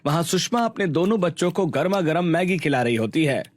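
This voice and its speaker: Male narrator for a Hindi children's cartoon: scripted storytelling, medium-high pitch, light music underneath. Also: lively intonation, brisk.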